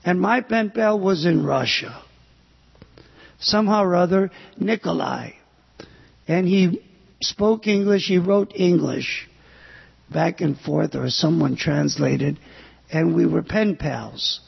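An older man preaches slowly in a high, gravelly voice with a husky edge. He halts often and fills gaps with "uh". The recording is dull and carries a long hall echo. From United States. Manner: slow, halting delivery with pauses and fillers. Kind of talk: sermon on scripture.

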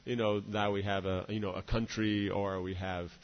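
A lower-pitched man speaks in a discursive lecturing way, often breaking off to ask his listeners "right?". His voice carries a long reverb from a large hall. The recording sounds muffled and lo-fi. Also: flat delivery, moderate pace. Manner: discursive, many "you know" and "right?" asides. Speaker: spiritual teacher. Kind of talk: devotional lecture.

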